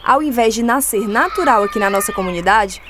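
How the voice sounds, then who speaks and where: A woman's voice: fairly high pitch, expressive, a little fast, background noise, lightly acted. A woman playing an outspoken, savvy farmer in a radio drama, with a Northeastern Brazilian accent and a folksy, emphatic delivery. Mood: explaining, firm. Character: savvy, outspoken rural farmer woman named Rosa, explaining firmly.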